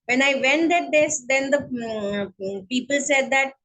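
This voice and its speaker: A woman with a Bengali accent speaking English as a guest in an interview, slow and hesitant with many fillers. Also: high voice, a bit bright. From India. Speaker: guest, counselling psychologist. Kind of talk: online interview, casual chat.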